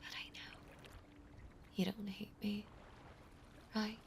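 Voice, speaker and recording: A woman playing a possessive, lovesick mermaid monster girl in an intimate audio roleplay, breathy and often whispering, with a doting, clingy delivery. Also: medium pitch, little pitch movement, slow, a little hiss or hum. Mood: needy, menacing. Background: ocean ambience.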